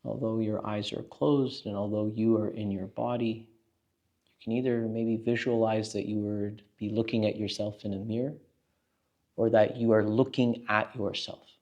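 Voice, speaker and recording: Male meditation teacher, low pitch, creaky fry, calm, gentle, unhurried, long pauses. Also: muffled recording. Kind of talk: guided meditation.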